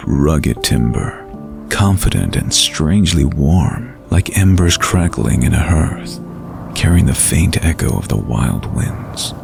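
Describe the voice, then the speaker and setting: A male narrator with a low, gravelly voice tells a fantasy story. His delivery is measured and atmospheric, suited to bedtime listening, with faint music underneath. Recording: light music behind the voice.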